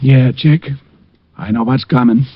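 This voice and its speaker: A man's voice: mid-range voice, steady tone, a little slow, close to an everyday voice. An actor playing a humble, meek old blind beggar man with a thin voice, in 1940s radio style. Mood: fearful. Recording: muffled old radio recording.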